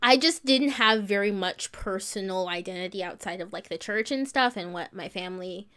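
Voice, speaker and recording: A young woman with a high, almost childlike voice talks through her story in a loose podcast chat. Her pitch sits in an ordinary middle range, and she keeps things glib and jokey, swearing without a second thought. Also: moderate pace, sharp tone, smooth. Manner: glib, joking, swears casually. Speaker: podcast guest. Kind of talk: casual chat.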